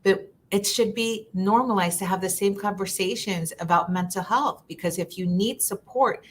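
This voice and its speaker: A woman's voice: medium pitch, normal speed, slight background noise. A woman from Ecuador, a social worker, talking earnestly in a live conversation and often repeating words while she thinks.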